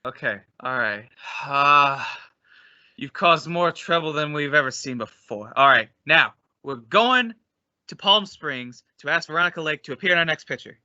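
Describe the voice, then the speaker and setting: A man's voice: a little high, lively intonation, moderate pace, bright, forceful tone, no room sound, highs cut off. A man playing the bossy straight man of an old-time radio comedy duo, explaining and scolding in exasperation. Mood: exasperated.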